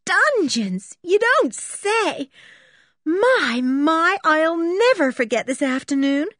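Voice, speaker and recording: Lady doing a gushy awestruck storybook girl, high voice, breathy, stretches out her exclamations. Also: expressive, slow pace, dark tone, no echo, clean studio sound. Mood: gushing delight. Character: awestruck, dreamy, gushing young American girl.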